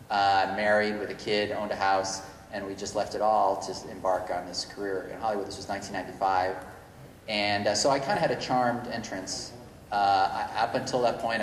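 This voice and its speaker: Middle-aged male, lowish voice, halting unscripted panel answers, recorded in a reverberant hall. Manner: halting, rambling, self-correcting. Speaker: screenwriter guest at convention Q&A. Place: large indoor convention panel room. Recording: echoey reverberant hall, noticeable noise.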